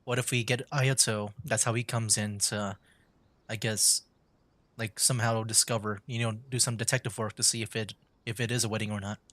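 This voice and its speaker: A man's voice: on the low side, level tone, brisk, a bit bright, no room sound. A man doing casual livestream commentary on a game, musing aloud in a laid-back way. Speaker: game streamer. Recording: game music behind the voice.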